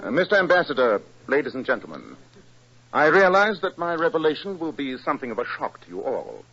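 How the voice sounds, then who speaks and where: An actor playing an incisive, proud English detective with a British accent, precise and emphatic, in 1940s radio style. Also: male voice, on the high side, sing-song, brisk, sharp tone, breathy edge, exaggerated voice. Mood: triumphant, accusing. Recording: dull 1940s radio recording.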